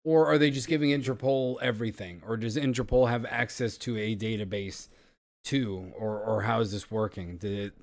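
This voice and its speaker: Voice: mid-range voice, fairly even intonation, normal speed. A podcast co-host in casual chat, musing aloud through a string of questions.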